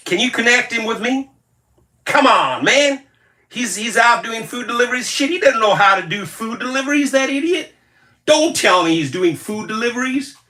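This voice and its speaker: A man's voice: sits high, voice pushed up. A man playing an angry, fed-up male caller who rants and curses down the phone, repeating himself. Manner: angry shouting, cursing, repetitive.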